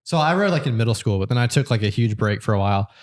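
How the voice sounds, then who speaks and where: A man's voice: fairly low pitch, expressive, racing. A man in his late twenties from the American South, co-hosting a casual podcast and telling a long story with many fillers and restarts. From Georgia, USA. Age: 20s. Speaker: podcast co-host.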